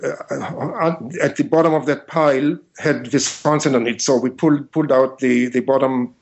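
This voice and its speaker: Older Israeli man, dull lo-fi call. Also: medium pitch. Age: 70s. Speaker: interview guest, author and retired mathematics teacher. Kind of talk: unscripted anecdotal interview talk. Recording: dull, lo-fi long-distance call.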